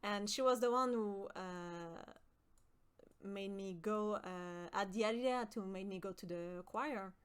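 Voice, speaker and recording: A young woman from with a high voice, chatting with her stream viewers and often pausing with fillers. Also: clear, resonant tone. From Quebec. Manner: hesitant, many fillers and restarts. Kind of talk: casual chat with stream viewers.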